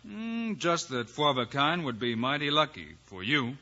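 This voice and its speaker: An actor playing a calm, confident cowboy marshal hero in an old radio western, speaking coolly and with assurance. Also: male voice, on the high side, normal speed, full character voice. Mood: easygoing, dry. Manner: cool, clipped, assured Western talk. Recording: muffled, hissy old radio recording with clicks.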